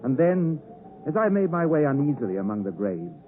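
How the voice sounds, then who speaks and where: An actor playing a literate gentleman recalling his boyhood, in dramatic first-person narration for 1950s radio drama. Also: medium pitch, big pitch swings, brisk, natural voice. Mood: ominous. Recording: tinny 1950s radio broadcast with music.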